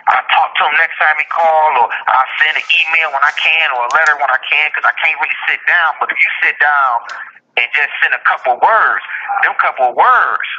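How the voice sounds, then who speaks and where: Man, forties, incarcerated interviewee on a dull phone connection. Higher-pitched, candid, colloquial, emphatic. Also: fairly quick, pushed and bright. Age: 40s. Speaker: incarcerated podcast guest calling from prison. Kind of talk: casual candid interview talk. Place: prison phone call. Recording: muffled, noisy prison phone line.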